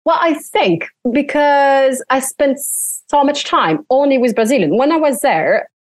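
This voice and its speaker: Young French woman, animated interview guest, dry close sound, filler-heavy talk. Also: high-pitched, unhurried, no room sound. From France. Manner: animated, frequent "like" fillers, laughs often. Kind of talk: casual interview chat.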